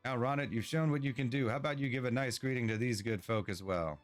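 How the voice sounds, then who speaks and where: A man voicing a kindly, indulgent old dwarf villager in a fantasy game, gently old-fashioned in manner. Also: mid-range voice, fast, faint music behind, close to an everyday voice. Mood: indulgent, coaxing. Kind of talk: game dialogue read aloud.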